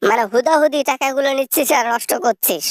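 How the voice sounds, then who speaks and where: A scheming elderly mother, acted by a woman in a political parody skit. Her voice sits fairly high and sounds very dry. She coaxes and boasts without shame. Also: quick pace, no echo, lightly acted. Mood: complaining. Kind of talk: satirical phone-call parody skit.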